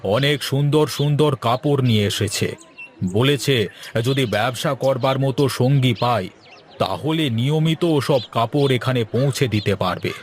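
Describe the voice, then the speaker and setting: A man's voice: mid-range voice, measured pace, natural voice. A man voicing an older, familiar and persuasive village go-between in a Bengali cartoon.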